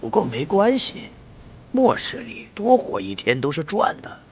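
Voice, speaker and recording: An actor playing a fanatical, slippery mad scientist villain in an animated drama, with theatrical delivery. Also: male voice, fairly high pitch, a little slow, highs cut off, a little hiss or hum, exaggerated voice. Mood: smug, gloating. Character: smug fanatical male mad scientist villain, cowardly when threatened. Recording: loud background music.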